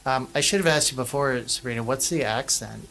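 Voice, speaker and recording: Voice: mid-range voice, fairly quick, creaky, a bit bright, a little hiss or hum. An interviewer asking students rambling, conversational questions. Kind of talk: casual interview.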